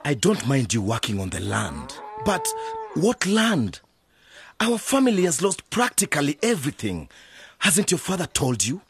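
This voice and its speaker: An actor playing a wary, protective village uncle in a radio drama, with a husky voice and an emphatic manner. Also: male voice, on the high side, wildly swooping pitch, normal speed, background noise. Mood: skeptical.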